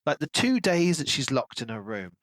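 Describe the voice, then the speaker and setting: A man's voice: mid-range voice, sing-song, normal speed, a bit bright, no echo. A man co-hosting a podcast, talking casually and analytically about a book as he thinks aloud. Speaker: podcast co-host.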